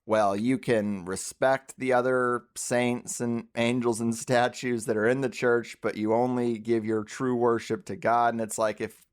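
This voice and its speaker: A man hosting a podcast interview, speaking conversationally and articulately in long, reflective turns. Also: medium pitch. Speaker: podcast host.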